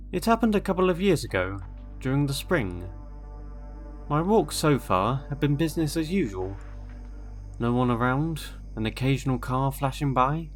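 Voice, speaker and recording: A man narrating a scripted first-person horror story in a measured, deliberate way with dramatic pauses. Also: medium pitch. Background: eerie background music.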